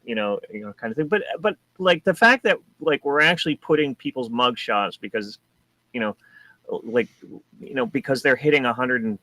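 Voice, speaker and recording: A man from New Hampshire co-hosting a casual car talk show, rambling and animated with many restarts. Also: mid-range voice, moderate pace, dry recording, low-quality recording. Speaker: co-host. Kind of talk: casual chat.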